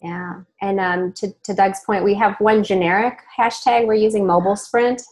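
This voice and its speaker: A woman's voice: medium pitch, steady tone, normal speed, a little mellow, poor audio. A woman hosting a webcast over a video call, chatty and informal, with frequent ums and self-corrections. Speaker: webcast host. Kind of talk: casual group discussion.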